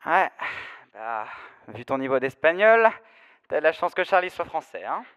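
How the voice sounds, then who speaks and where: A man's voice: a little high, sing-song, a bit bright, smooth, big-room sound. Young man playing a flamboyant, catty gossip bestie. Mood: excited, teasing. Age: teenager. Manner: theatrical, catty, slangy, drawn-out exclamations. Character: flamboyant gossipy male best friend, fashion-obsessed DJ. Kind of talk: comic audio drama acting.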